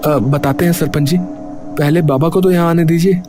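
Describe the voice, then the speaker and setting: A man playing an earnest, cautious village friend in a cartoon story, speaking in a measured way. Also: fairly high pitch, steady tone, background noise, close to an everyday voice.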